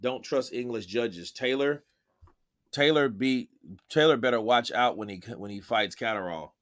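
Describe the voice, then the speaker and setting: A man's voice: mid-range voice, a little slow, a bit bright. A young man doing casual, profane live-stream commentary on boxing and chatting with his viewers. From Texas, United States.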